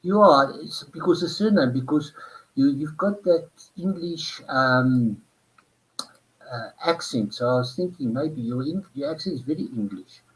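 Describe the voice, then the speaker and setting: A man's voice: mid-range voice, expressive, measured pace, low-quality recording. Hesitant man hosting a live stream. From South Africa. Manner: hesitant, many fillers, thinking aloud. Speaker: livestream host. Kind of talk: casual chat while troubleshooting.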